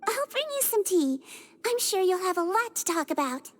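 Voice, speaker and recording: A woman voicing a small, caring head nurse in a video game, polite and gently instructive. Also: extremely high pitch, sing-song, moderate pace. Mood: caring, gently fussy. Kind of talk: video game character voice acting.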